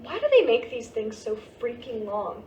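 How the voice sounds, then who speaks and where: A high, youthful voice from a woman acting as a prickly, sarcastic teenage daughter. She argues emphatically in a staged play reading, heard in a roomy space from a distant mic with faint noise. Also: very animated, normal speed, darkish tone. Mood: dry, sarcastic. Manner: argumentative, emphatic. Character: defensive, sarcastic teenage daughter upset and grieving.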